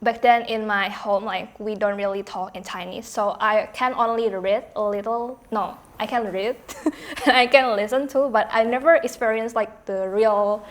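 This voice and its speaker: A woman's voice: mid-range voice, steady tone, normal speed, few pauses. Chatty young Indonesian woman. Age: young adult. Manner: chatty, fills speech with "like". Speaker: student panelist. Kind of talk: casual panel chat.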